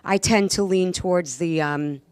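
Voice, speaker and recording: A woman's voice: on the low side, normal speed, clear, resonant tone, dry recording. A woman chatting casually as an interview guest, speaking in an emphatic, enthusiastic way. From New York, USA. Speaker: guest, actress and stand-up comedian.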